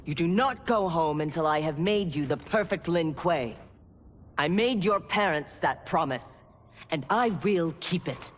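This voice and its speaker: An actress playing a stern, scheming ninja mentor and armorer in a video game, firm and formal in manner. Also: female voice, low-pitched, lively intonation, measured pace, a little hiss or hum. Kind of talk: dramatic video game cutscene acting. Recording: long hall reverb. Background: faint music.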